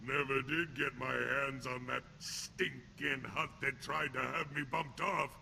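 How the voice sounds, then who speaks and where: A sneering alien thug from a video game, with a heavily growling, rasping voice and a sharp, hard edge. He talks in blunt, crude street slang like a hardened enforcer fresh out of prison. Faint noise sits behind him. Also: mid-range voice, fairly even intonation, a little slow, exaggerated voice. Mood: menacing. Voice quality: gravelly, rough. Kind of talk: video game character acting.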